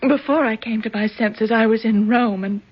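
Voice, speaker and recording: An actress playing a frightened, naive but resolute young American woman in a 1970s radio drama, speaking in a halting, emotional way. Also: fairly high pitch, fairly even intonation, husky. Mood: ashamed. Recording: dull, band-limited 1970s radio recording.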